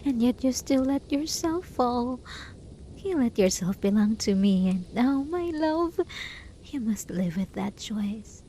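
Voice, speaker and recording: A woman playing a lovesick, possessive, desperate hermit spellcaster in a soft, intimate ASMR roleplay, often slipping into whispers. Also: a little high, expressive, takes it slowly, a little hiss or hum, low-quality recording. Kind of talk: ASMR roleplay, scripted dramatic monologue.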